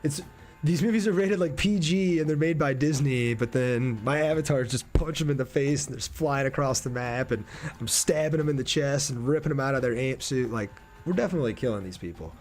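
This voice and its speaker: Male streamer, medium pitch, informal and slangy, casual gameplay commentary with background music. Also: a little fast, darkish tone. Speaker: game streamer.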